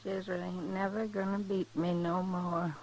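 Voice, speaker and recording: An actress playing a middle-aged, long-abused rural wife in a radio western, plain and quietly resolute, with a rural American accent. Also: female voice, fairly low pitch, little pitch movement. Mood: grimly resolute. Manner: plain, unhurried, folksy. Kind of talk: 1950s radio western acting. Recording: noisy old radio drama recording.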